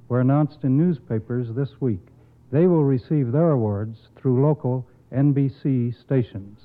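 A man speaks as a committee spokesman in a formal, earnest and measured manner, reading a prepared announcement at a medium pitch. The sound is narrow and phone-like, like an old radio broadcast.

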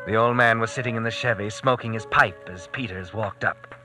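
A man's voice: fairly low pitch, a little fast. A man narrating a hard-boiled old-time radio drama in scripted, storytelling style. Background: dramatic music.

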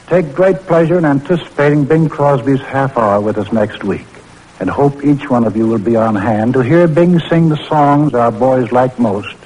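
A man's voice: medium pitch, a little mellow, low-quality recording. A man hosting a 1940s radio program, reading a script in a formal, courteous manner. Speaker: radio host.

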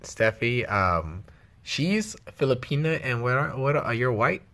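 A man's voice: medium pitch, big pitch swings, a little slow, pushed and bright. Joking, profane young man chatting. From Los Angeles area. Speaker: vlogger. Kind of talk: casual chat.

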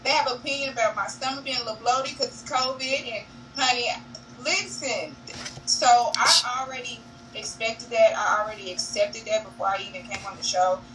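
A woman's voice: fairly high pitch, normal speed. A young woman in a casual interview on a video call, candid and laughing often, calling people honey and y'all. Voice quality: naturally monotone voice. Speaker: reality show cast member, guest. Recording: distant mic with faint noise.

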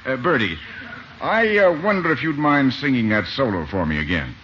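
An American actor playing a polite, hesitant choir director in a 1940s radio comedy. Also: male voice, medium pitch, very animated, moderate pace, natural voice. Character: courteous, hesitant choir director bringing good news. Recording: muffled, noisy vintage radio recording.

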